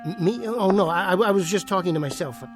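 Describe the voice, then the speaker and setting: An actor playing an edgy, weary and defensive nightclub ventriloquist in a radio play. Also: male voice, high-pitched, quick pace, music in the background, close to an everyday voice. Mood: nervous, defensive.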